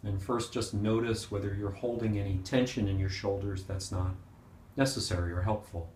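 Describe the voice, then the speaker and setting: Guy doing guided meditation, lowish voice, gentle n unhurried w pauses, pretty echoey room. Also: steady tone, slight background noise. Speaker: meditation teacher. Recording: roomy, echoing sound.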